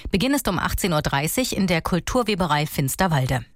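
A woman reporter giving a calm, informative radio report. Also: lowish voice, fairly quick, no room sound, clean studio sound. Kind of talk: culture news report.

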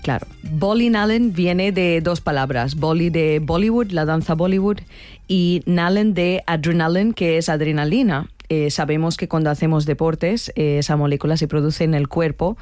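Woman being interviewed on radio, kinda low voice, explains stuff chattily, dry studio sound. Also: moderate pace, a little mellow, dry recording, faint music behind. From Chennai, southern India. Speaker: interview guest, university professor and dancer.

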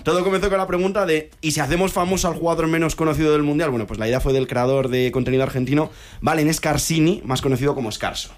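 A man co-hosting a show, telling stories in a chatty, animated manner. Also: a little high, quick pace. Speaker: radio show co-host. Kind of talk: casual chat.

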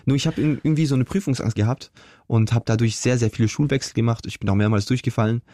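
Young guy telling his story in an interview, talks fast, warm mellow voice, lots of ums. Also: medium pitch, dry recording, clean studio sound. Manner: earnest, reflective, many fillers and restarts. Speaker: interview guest. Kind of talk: casual conversational storytelling.

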